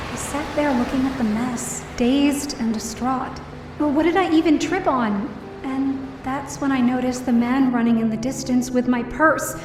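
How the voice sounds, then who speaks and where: An actress playing an anxious young woman who narrates her own story in an audio drama, confiding and self-deprecating. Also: high voice. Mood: distraught, defeated. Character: anxious, downcast young woman, daughter of a hospitalized mother. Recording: roomy, distant-sounding voice over music.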